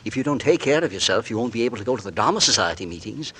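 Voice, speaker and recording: An actor playing an anxious, tender husband in a 1940s radio suspense play, with a melodramatic delivery. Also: male voice, lowish voice, lively intonation, rapid-fire, a bit bright, husky. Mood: tender, reassuring. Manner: melodramatic, genteel, hesitant in dialogue. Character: anxious, tender husband narrating his own mysterious illness. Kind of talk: 1940s radio suspense acting, first-person narration and dialogue. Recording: vintage radio drama with music underneath.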